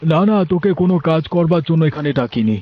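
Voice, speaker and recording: A man's voice: fairly high pitch, fast talker, close to an everyday voice. A man voicing a scheming, stern elder brother from a farming village in a cartoon, with an argumentative, reproving manner. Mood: firm, discontented. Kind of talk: Bengali cartoon story dubbing. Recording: dull lo-fi audio, music bed.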